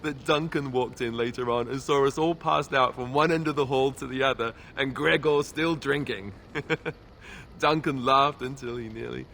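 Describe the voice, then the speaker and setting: An actor playing an affable, boyish young warrior who talks in a conversational, sentimental way in a video game. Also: male voice, mid-range voice, fairly even intonation, normal speed, creaky, pushed and bright, a little hiss or hum. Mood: amused, nostalgic. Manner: conversational, self-interrupting storyteller. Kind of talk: video game dialogue acting.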